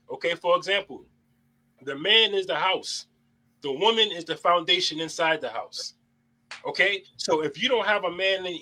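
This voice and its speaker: A man's voice: high-pitched, sharp tone, poor audio. A young adult man hosting a casual panel debate, talking bluntly and preachily, with plenty of swearing. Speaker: host.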